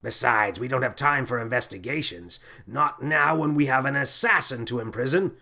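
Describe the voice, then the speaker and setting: A man voicing a lanky, pompous male space captain who whines and shouts melodramatically. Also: mid-range voice, expressive, moderate pace, creaky, exaggerated voice. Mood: dismissive.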